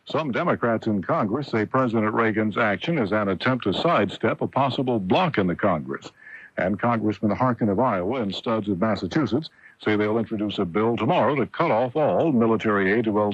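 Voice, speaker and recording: A man's voice: lowish voice, breathy edge, no echo. An American man reading a scripted radio newscast as anchor, heard on a dull old AM broadcast.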